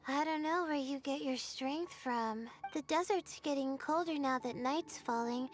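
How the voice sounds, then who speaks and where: A woman voicing a timid, gentle young student girl in a video game, speaking hesitantly. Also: high voice, a little slow, faint music behind. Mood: hesitant, weary.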